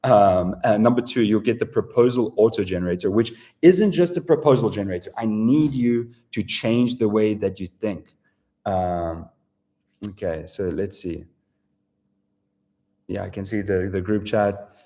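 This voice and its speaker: Profane South African man pitching live. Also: a little slow, warm tone. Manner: casual, profane, filler-heavy sales talk. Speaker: webinar presenter. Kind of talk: live sales webinar pitch.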